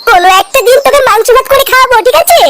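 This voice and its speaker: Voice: extremely high pitch, racing, heavy background noise. A performer voicing a suspicious village auntie in a comedy cartoon, exclaiming and scolding. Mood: resentful.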